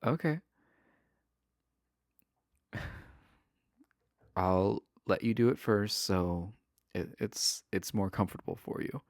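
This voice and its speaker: Man acting shy, stammering villain, low creaky voice. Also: expressive, no echo, clean studio sound. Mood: surprised, bashful. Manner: nervous stammering, halting, earnest. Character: shy, adorkable imprisoned male villain, smitten with pen pal. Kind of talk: intimate romance audio roleplay.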